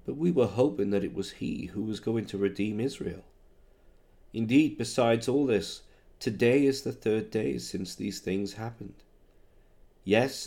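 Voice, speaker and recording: A male pastor recovering from a cold, his voice a bit hoarse, opening with prayer and reading scripture in a halting, filler-laden way. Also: on the low side, warm tone. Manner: halting, many fillers, reads scripture slowly.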